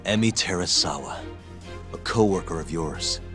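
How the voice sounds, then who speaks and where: Low-voiced steely male investigator. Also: animated, over music. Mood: quiet menace. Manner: measured, accusing, controlled. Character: steely adult male investigator confronting a suspect. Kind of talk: English video game dub acting.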